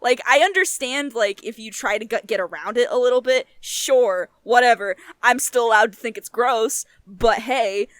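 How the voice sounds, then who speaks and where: A young woman with a fairly high voice, close and dry in the recording. She co-hosts a casual chat, talking in an emphatic, animated manner with plenty of loose swearing. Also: moderate pace, few pauses, no room sound. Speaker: podcast co-host.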